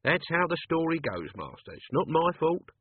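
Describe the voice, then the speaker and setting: A man's voice: a little high, big pitch swings, moderate pace, slightly rough, no echo, voice pushed up. A man voicing a nervous, wheedling old male manservant who keeps calling his employer master. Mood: fretful. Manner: nervous, pleading, says Master often. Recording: tinny lo-fi audio.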